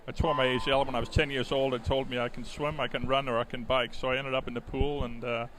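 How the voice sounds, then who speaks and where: A man's voice: medium pitch, fast. Middle-aged man, from Denmark, native Danish speaker, live swim commentary, hesitant and conversational, mid-range voice, background noise. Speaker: swimming commentator and coach. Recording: steady clear background venue noise. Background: swim meet ambience.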